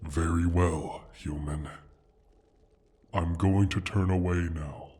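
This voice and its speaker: A mighty wounded dragon with a low, very raspy, breathy voice. He is prideful and disdainful, speaking in slow, halting, theatrical phrases with open menace, as in a fantasy audio roleplay. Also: fairly even intonation, darkish tone, exaggerated voice. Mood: grudging, sly. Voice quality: husky, breathy rasp. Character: proud, injured male dragon, menacing and haughty.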